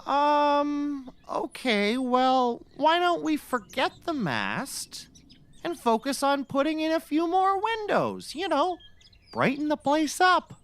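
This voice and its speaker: A woman's voice: high-pitched, sing-song, slow pace, exaggerated voice. A woman playing a reasonable, patient sidekick in a scripted comedy, tentative and matter-of-fact. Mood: puzzled, reasonable. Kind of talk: scripted comedy audio acting.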